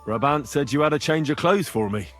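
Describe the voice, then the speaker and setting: An actor playing a plain-spoken, earnest young hero in a video game, with a dry, understated manner. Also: male voice, fairly high pitch, lively intonation, a little fast, fairly bright tone, soft background music. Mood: wry, subdued.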